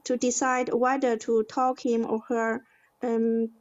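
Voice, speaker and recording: A fairly high-voiced woman with a Chinese accent speaks slowly in a lesson. She is an English learner, halting and searching for words. The recording sounds dull and lo-fi. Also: flat delivery. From China. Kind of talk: hesitant conversation in a lesson. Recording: dull, lo-fi audio.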